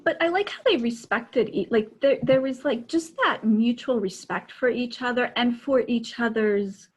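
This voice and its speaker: A woman with a fairly high voice co-hosts a casual book chat. She is effusive, often repeating words and starting phrases over. She speaks from a room at home over a video call. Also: breathy edge. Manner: effusive, halting and repetitive. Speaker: podcast co-host. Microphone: video call microphone.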